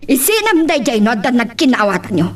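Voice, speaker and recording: A woman's voice: fairly high pitch, big pitch swings, a little fast, distant mic. A woman with an Ilocano accent playing a disapproving, nagging middle-aged mother in an audio drama, with an emphatic manner. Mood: scolding. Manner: scolding, emphatic. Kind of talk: amateur audio drama acting.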